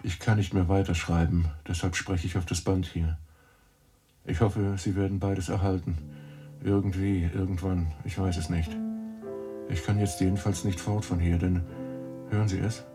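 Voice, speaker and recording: Actor as low-voiced, music-obsessed man over guitar. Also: flat delivery, close to an everyday voice. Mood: desperate, confiding. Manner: intense, halting, increasingly ecstatic confession. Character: obsessed man addicted to music, confessing on tape. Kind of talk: radio drama monologue. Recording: music behind the voice. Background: plucked guitar music.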